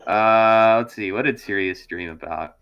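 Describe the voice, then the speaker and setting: A man's voice: lowish voice, a little slow, clear, resonant tone, lo-fi sound, soft background music. A man playing a tabletop roleplaying game, casually and a little hesitantly describing his character's actions.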